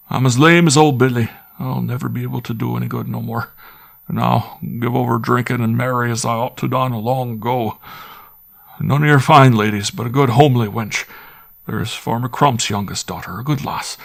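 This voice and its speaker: A man voicing a middle-aged country squire who speaks in loud, pleading rustic dialect. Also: medium pitch, steady tone, moderate pace, vocal fry, voice pushed up. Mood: remorseful.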